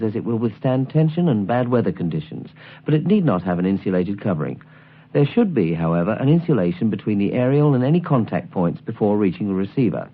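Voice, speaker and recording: A man reading scripted instructional narration in a measured, precisely enunciated way, as the presenter of a tutorial album. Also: on the low side, breathy edge. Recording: tinny, band-limited 1979 album recording.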